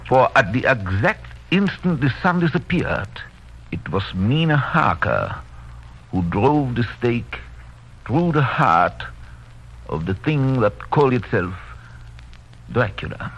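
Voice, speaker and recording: An actor playing an authoritative doctor hunting a vampire, intense and declamatory in 1930s radio style. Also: male voice, mid-range voice, big pitch swings, slow pace, breathy edge, heavily acted. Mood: grave. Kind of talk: 1930s radio drama acting. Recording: dull, noisy vintage radio recording.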